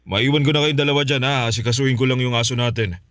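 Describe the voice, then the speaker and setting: A man's voice: medium pitch, quick pace, slight background noise, lightly acted. A man voicing an easygoing, good-natured husband in a radio drama. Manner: relaxed, joking.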